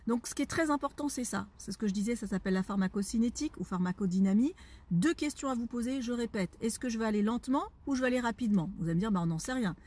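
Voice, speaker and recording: A woman's voice: on the high side, quick pace, a little mellow, breathy edge. A woman, an aromatherapy educator answering a viewer's question in a didactic way, often checking that listeners follow.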